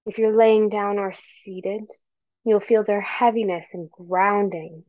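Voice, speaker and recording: A woman leading a guided meditation with gentle, soothing, measured phrasing and pauses between instructions. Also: medium pitch, gravelly, no room sound, highs cut off.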